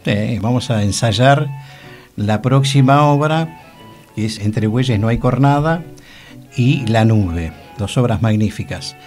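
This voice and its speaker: A man's voice: mid-range voice, slow, a little mellow. A man with a Rioplatense Argentine accent, chatting casually as a radio co-host in a studio. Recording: music playing behind the voice. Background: music.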